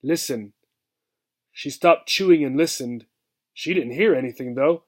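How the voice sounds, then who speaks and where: A man reading a children's book aloud with expressive narration. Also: fairly high pitch, normal speed.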